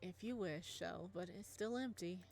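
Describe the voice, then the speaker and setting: A woman voicing a gentle, doting father, narrating softly and tenderly in a visual novel. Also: lowish voice, unhurried, gravelly, soft background music. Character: gentle doting father, a modest physics teacher.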